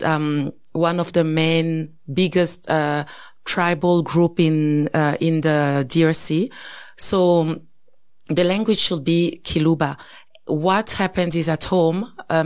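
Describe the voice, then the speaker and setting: A woman in her thirties from Congo, co-hosting a podcast, speaking reflectively and thinking aloud with frequent pauses and restarts. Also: lowish voice. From Congo (DRC). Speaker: podcast co-host. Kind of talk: casual conversation.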